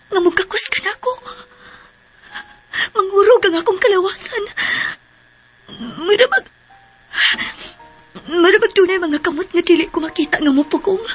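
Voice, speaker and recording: Very high, fragile young woman. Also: a bit bright. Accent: Filipino, Cebuano-speaking. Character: fragile, troubled, tearful young woman in love. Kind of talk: radio drama acting. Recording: faint music bed under radio drama voice.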